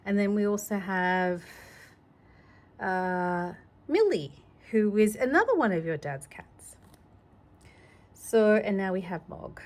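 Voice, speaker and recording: Slow-paced woman reading a storybook aloud. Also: medium pitch, expressive, a little mellow. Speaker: storybook reader. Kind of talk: informal storytime read-aloud with personal asides to a child.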